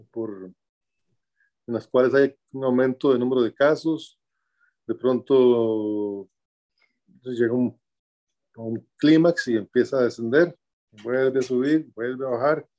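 A man in his sixties with a Costa Rican accent, answering interview questions from home in a measured, halting way, often pausing with fillers to find his words. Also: mid-range voice, a little mellow. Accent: Costa Rican Spanish. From Costa Rica. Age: 60s.

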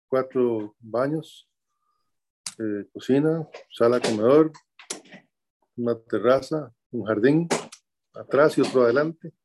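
An older Costa Rican man in his sixties speaks slowly and thoughtfully. His voice is mellow and dark, set at a middling pitch. He often pauses with fillers as he searches for the right word. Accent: Costa Rican Spanish. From Costa Rica. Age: 60s. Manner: measured, halting with fillers, searching for words. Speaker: interviewee. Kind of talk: remote interview answers. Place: his home.

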